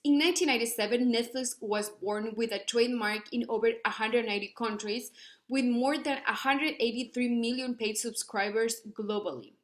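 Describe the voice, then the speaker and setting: A woman's voice: a little high, moderate pace. A young woman from Ecuador, giving an earnest academic presentation with many fillers and restarts. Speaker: student researcher presenting.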